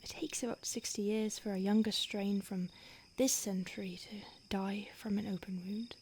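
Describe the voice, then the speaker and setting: A woman's voice: medium pitch, steady tone, normal speed, a little mellow, a little hiss or hum, lightly acted. A woman voicing an ancient female vampire on the run, speaking in an urgent whisper in an audio roleplay. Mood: hushed urgent.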